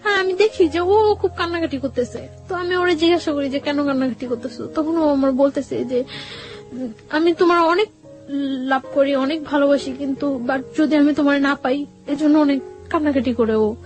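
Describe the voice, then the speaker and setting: A young woman with a high voice and a Bangladeshi accent recounts a personal story as an interview guest. She speaks haltingly, circling back over her words, with music playing behind her. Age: young adult. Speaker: guest telling own life story. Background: background music.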